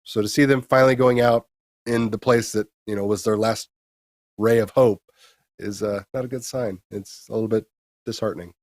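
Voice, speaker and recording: A man's voice: fairly low pitch, steady tone, moderate pace, dry recording, clean studio sound. An American man co-hosting a gaming news show, talking through stories in a casual, conversational way. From United States.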